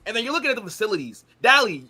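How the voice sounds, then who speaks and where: A man's voice: high voice, big pitch swings, rapid-fire, pushed and bright, slightly rough. A man in casual, opinionated football chat, hosting with slangy banter and emphatic rhetorical questions. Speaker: football show host and streamer.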